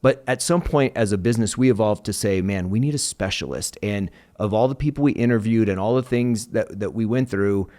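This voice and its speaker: A man's voice: lowish voice, fairly quick, hardly any pauses, dark tone. A man hosting a podcast interview, enthusiastically praising his guest and asking long, winding questions. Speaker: podcast host.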